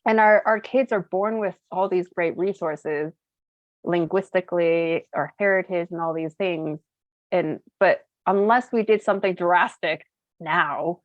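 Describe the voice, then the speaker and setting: Chatty woman in her thirties, low voice. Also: no room sound, dull audio. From United States (Colorado). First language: Cantonese. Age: 30s. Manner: chatty, uses many fillers and laughs easily. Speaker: interview guest, entrepreneur. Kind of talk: casual chat, storytelling.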